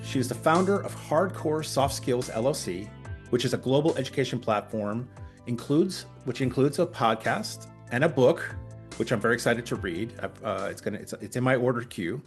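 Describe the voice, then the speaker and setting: A man's voice: mid-range voice, moderate pace, soft background music. A man hosting a podcast, introducing his guest with a few small stumbles. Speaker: podcast host.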